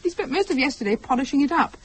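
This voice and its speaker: High, lively English wife, teasing. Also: female voice, racing, slight background noise, poor audio. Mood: amused. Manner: teasing, assured. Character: confident, teasing wife and hostess. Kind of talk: British radio drama acting.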